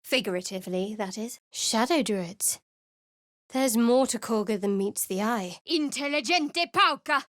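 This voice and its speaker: A wry, guarded young cleric. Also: female voice, on the high side, animated, unhurried, fairly bright tone, no echo. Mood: spellcasting. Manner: dry, sardonic, clipped. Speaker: game companion. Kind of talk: studio game voice lines. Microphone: close studio mic.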